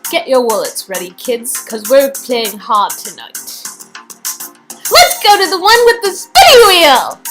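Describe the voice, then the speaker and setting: A woman's voice: sits high, very animated, a little slow, over music. A woman playing bossy, fun-loving young women in a game story, in a teasing, playful manner. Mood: excited.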